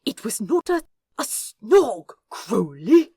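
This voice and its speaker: Fussy, easily scandalised male angel played by a woman, high, swooping delivery, prim and old-fashioned, for a storybook. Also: sing-song, slow, dark tone, slightly rough, no echo, studio quality, heavily acted. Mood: flustered outrage.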